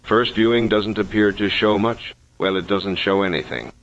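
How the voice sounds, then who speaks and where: A man acts a sceptical investigator with a deep voice, dictating case notes in an analog horror story. He is deliberate and analytical, on a dull recording with faint noise behind him. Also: fairly even intonation, fairly bright tone, natural voice. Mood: measured, serious. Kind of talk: scripted analog horror narration.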